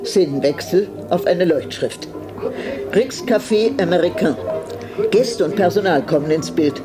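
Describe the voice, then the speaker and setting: A woman's voice: lowish voice, a little slow, close to an everyday voice. Actress playing a nostalgic woman film lover, over music. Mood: measured narrating. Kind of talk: scripted radio drama monologue, narrating. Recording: music behind the voice.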